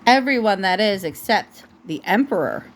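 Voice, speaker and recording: A woman's voice: medium pitch, expressive, moderate pace, slight background noise. A woman reading a storybook aloud as a mother to her young child, often breaking off to correct the child. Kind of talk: storybook read aloud at home, with asides to a child.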